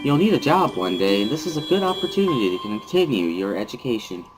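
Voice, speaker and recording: A man voicing a calm, reassuring hospital doctor in a measured, formal, placating manner for a dramatic reading. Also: mid-range voice, over music, lightly acted, poor audio.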